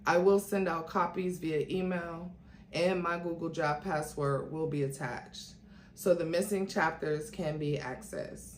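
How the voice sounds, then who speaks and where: Woman reading a book out loud all dramatic, lowish voice, bit of background noise. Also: fairly even intonation, measured pace. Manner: theatrical, acts out quoted dialogue. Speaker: YouTube host reading a book aloud. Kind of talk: dramatic storytelling read-aloud. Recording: roomy echo with faint noise.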